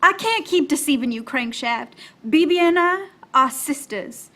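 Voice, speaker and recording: A woman's voice: high voice, lively intonation, measured pace, bright, forceful tone, heavily acted. A woman playing a sweet, earnest doll of a noir heroine in a melodramatic live radio parody. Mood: earnest, defiant. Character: sweet-faced doll, earnest twin sister of the dame. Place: theater stage before a live audience.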